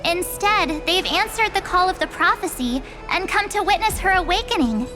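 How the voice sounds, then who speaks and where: An actress playing a spirited young girl, earnest and playful, with an expressive delivery for a video game. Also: extremely high pitch, normal speed, music in the background. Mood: earnest, reverent.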